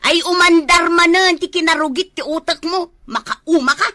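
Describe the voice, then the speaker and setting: A woman's voice: extremely high pitch, moderate pace, bright, forceful tone, dry recording, studio quality. A woman playing a long-suffering, exasperated wife and mother in a radio drama, with a complaining, weary manner.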